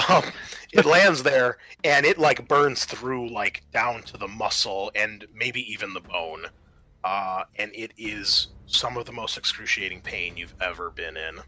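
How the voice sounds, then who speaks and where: A man's voice: medium pitch, bright, forceful tone, rough recording. A man acting as game master, narrating and explaining the rules of a tabletop horror roleplaying game in a conversational way.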